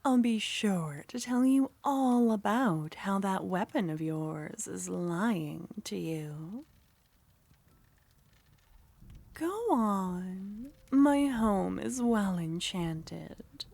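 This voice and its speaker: Woman doing a possessive flirty witch, whispered ASMR, very slow delivery, teasing tone. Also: mid-range voice, animated, vocal fry, dry recording, full character voice. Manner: soft, teasing, often whispered. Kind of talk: ASMR roleplay.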